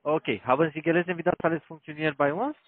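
A man who is not a native German speaker, with a foreign accent, making a persistent sales pitch as a call-centre caller. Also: fairly even intonation, fast talker, dry recording. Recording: narrow tinny phone line.

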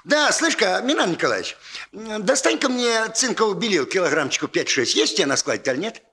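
A man's voice: high voice, big pitch swings, fast talker, pushed and bright, full character voice. An actor playing a bustling, folksy older father in a 1960s radio play, chatty and hesitant, repeating filler words. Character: bustling, folksy old father preparing his daughter's wedding. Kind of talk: naturalistic 1960s Soviet radio play acting.

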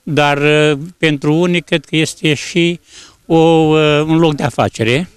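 Old guy in his 70s interviewed on the street, slightly high voice, keeps groping for words. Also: steady tone, smooth, dry recording. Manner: halting, searching for words. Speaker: passer-by interviewee. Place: outdoors on a snowy street. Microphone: reporter's handheld microphone.

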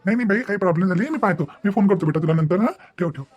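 A man's voice: sits high, very fast, slightly rough, highs cut off. A man playing a dutiful young brother in a cartoon family drama, speaking politely with a Varhadi Marathi accent. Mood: concerned, obliging. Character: dutiful young son and older brother searching for his sister.

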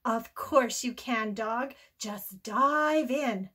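Woman as cheerful child, high and bright. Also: extremely slow, slightly rough, heavily acted. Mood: welcoming. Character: cheerful welcoming young children in a storybook.

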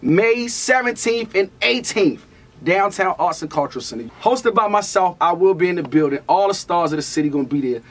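Young guy, high voice, hyping up a show like a promoter. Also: animated, a little fast. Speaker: event host, promoter. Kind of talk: energetic event promo. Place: small radio or recording studio.

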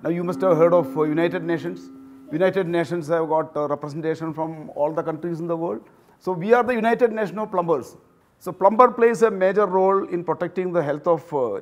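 A man's voice: fairly high pitch, a little fast. An older man explaining things formally and earnestly as an interviewed chairman. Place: indoors, house sitting room.

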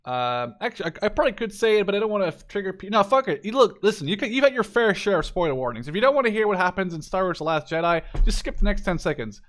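A man's voice: high voice, lively intonation, fast, few pauses, fairly bright tone. A man in his twenties giving casual, unscripted gameplay commentary, rambling and swearing freely as he shares his opinions. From Canada. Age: 20s. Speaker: gaming video creator.

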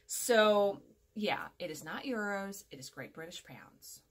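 A woman's voice: mid-range voice, expressive, measured pace. A woman in her forties chatting casually to viewers in a rambling, emphatic way, often correcting herself. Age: 40s. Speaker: vlogger. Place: small furnished room at home, indoors.

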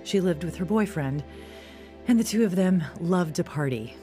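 Woman as searching young lady in a radio drama, earnest chatty delivery, lowish, music playing behind. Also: animated, normal speed, dark tone, lightly acted. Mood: reflective. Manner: earnest, conversational. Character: young woman confessing her troubled, searching youth. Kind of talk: audio drama narration and acting.

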